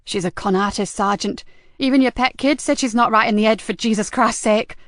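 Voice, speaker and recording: A woman voicing a hot-tempered female police detective inspector in an audiobook, sharp and demanding with a gritty edge. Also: sits high, animated, moderate pace, dry recording, clean studio sound, voice pushed up. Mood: angry, bitter.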